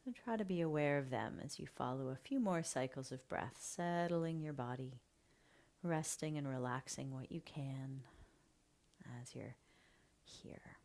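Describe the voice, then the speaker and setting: A woman guides a breathing meditation in a low, gentle voice. She talks very slowly and leaves long pauses. Many phrases trail off into a soft half-whisper. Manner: slow, soft and soothing, often half-whispered.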